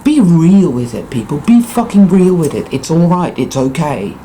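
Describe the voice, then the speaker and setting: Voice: medium pitch, sing-song, moderate pace, dark tone, a little hiss or hum. A speaker with a Dutch accent, a native Dutch speaker, gives an intense, profane, emphatic monologue to viewers, repeating words for emphasis. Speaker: spiritual vlogger.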